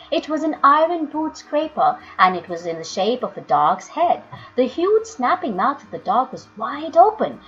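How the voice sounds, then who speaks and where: A woman storyteller reading a children's book aloud with expressive, dramatic, emphatic phrasing. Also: high voice. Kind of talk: expressive children's audiobook narration. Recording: dull lo-fi audio, music underneath.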